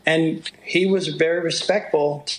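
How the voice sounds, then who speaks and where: A middle-aged man with a low voice, talking through stories in an interview and sometimes quoting others in a livelier tone. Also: fairly even intonation, poor audio. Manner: unhurried, storytelling, quotes others in a livelier voice. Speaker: motocross broadcaster. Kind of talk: reflective interview talk.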